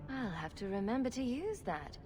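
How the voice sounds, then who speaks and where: A woman's voice: on the high side, sing-song, moderate pace, in a large hall, music in the background. A woman playing a sarcastic, wisecracking rogue adventurer in a video game, with a dry, unimpressed manner. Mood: wry sarcasm. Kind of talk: video game dramatic acting.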